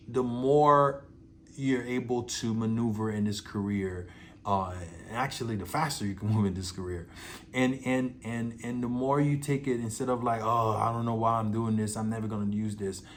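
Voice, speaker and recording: A man's voice: medium pitch, slight background noise. A man from Haiti, an actor, giving casual advice to theater students in a conversational way, with many fillers and repeated words, laughing easily.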